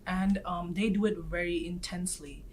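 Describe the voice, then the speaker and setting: A young woman from South Asia, speaking in a measured, somewhat hesitant way in a casual discussion. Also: fairly low pitch, steady tone, a little mellow, slight background noise. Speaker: student panelist.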